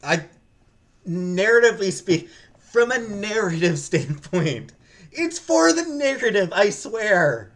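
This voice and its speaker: Animated man live-streaming a story game. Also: high-pitched, slow. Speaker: streamer reading a visual novel aloud. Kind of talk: casual live-stream chat mixed with read-aloud narration.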